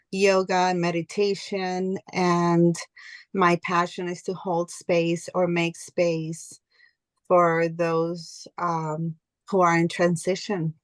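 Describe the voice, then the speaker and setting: A middle-aged woman from Colombia, raised in the United States, introducing herself on a video-call panel as a yoga and meditation teacher, unhurried and halting, with ums. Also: on the low side, dry recording. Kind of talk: casual personal introduction on a panel.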